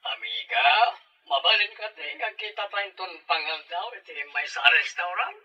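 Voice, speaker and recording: Casting: scheming middle-aged dad, Ilocano, very animated, forceful, radio comedy. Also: male voice, high voice, normal speed, husky, dry recording, full character voice, poor audio. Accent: Filipino, Ilocano. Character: blustery scheming father arranging his son's marriage.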